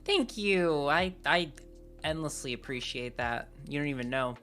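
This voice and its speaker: Low-voiced woman with a sore throat. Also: animated, unhurried, a bit bright, soft background music. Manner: rambling and candid, heavy use of "like". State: sore throat, voice deeper than usual. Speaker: streamer. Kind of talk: casual live-stream chat.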